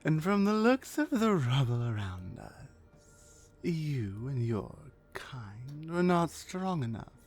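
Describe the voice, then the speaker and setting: A woman playing a dominant, mean orc warrior in an ASMR roleplay, mocking and deliberate, with drops into breathy whispers. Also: a bass voice, very animated, darkish tone, full character voice. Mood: gloating. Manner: menacing, mocking, slow and deliberate, often dropping to breathy whispers. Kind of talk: ASMR roleplay, slow dramatic monologue.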